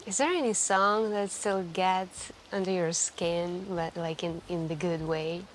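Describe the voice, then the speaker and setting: A woman playing a wistful, soft-spoken café stranger who confides intimately and hesitantly in an ASMR roleplay. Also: on the low side, steady tone, a little hiss or hum, natural voice, poor audio. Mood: nostalgic, musing. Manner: intimate, hesitant, musing, with gentle pauses.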